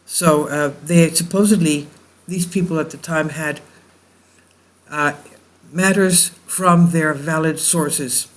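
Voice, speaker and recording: A man hosting a video, reading an old news report and adding commentary in measured, halting phrases. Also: a little high, steady tone. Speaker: video host.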